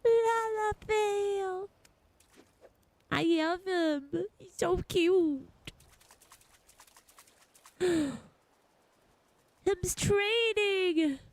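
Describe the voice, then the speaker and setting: High-pitched, playful woman streamer. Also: extremely slow, pauses often, no echo. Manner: gushing, goofy, playful. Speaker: game streamer. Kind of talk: casual livestream game reaction. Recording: faint music behind.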